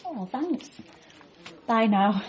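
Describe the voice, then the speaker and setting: An actress playing a blunt but kindly cafe waitress in a radio comedy drama, deadpan and matter-of-fact. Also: female voice, a little high, drawn-out words, lo-fi sound, slight background noise.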